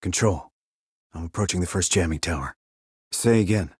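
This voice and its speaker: An actor playing a terse, dry, confident military sniper in a video game, with a husky voice and clipped, controlled reports. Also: male voice, sits low, normal speed, dry recording. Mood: businesslike. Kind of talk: scripted military game dialogue.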